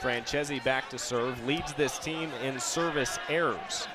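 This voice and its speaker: A man's voice: medium pitch, measured pace. An American man calling live volleyball play-by-play, raising his voice on the big plays. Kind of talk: live sports commentary. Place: indoor sports arena during a match. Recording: loud gym noise, bouncing balls. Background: loud arena noise, balls bouncing.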